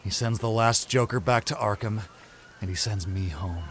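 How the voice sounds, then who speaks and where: Male actor as a brooding young vigilante, lowish voice, hurt, hesitant, comic dub, noisy ambience with sirens. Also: moderate pace, natural voice. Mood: bitter. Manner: low-key, hesitant, hurt.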